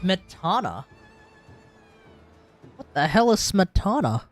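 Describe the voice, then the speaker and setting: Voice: mid-range voice, very animated, slow. Aussie streamer, casual thinking-aloud commentary, faint game music behind. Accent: Australian. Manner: chatty, muttering asides, reads text aloud.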